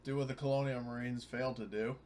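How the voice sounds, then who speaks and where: A man's voice: mid-range voice, steady tone, fast talker, crowd noise. A man playing a tabletop roleplaying game, chatting in a casual, jokey way about his character's moves. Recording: faint background noise.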